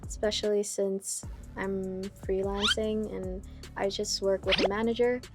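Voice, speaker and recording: A young woman from Vietnam, a singer talking about her music in a casual interview, with a relaxed, hesitant way of speaking full of fillers. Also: mid-range voice, a little slow. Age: young adult. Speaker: singer guest.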